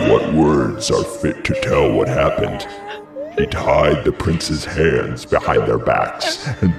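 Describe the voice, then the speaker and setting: An actor playing a grave, horrified messenger in a classical tragedy, declaiming a dramatic account in a gravelly voice. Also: male voice, extremely low pitch, echoey room, far from the mic, exaggerated voice. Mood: haunted dread. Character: horrified royal messenger recounting a ghastly sacrificial murder. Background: music.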